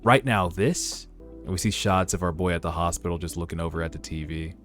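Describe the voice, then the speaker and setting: Low-voiced man giving casual commentary over music. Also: little pitch movement, fast talker. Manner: informal, slangy, conversational asides. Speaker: host of a webtoon read-along. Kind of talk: casual reaction commentary mixed with narrated reading. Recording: music under the voice. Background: background music.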